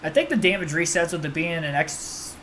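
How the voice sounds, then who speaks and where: High-voiced young man gaming live. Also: a little hiss or hum. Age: 20s. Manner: chatty, excitable, quick reactions. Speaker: livestream host. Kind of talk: casual live gameplay commentary.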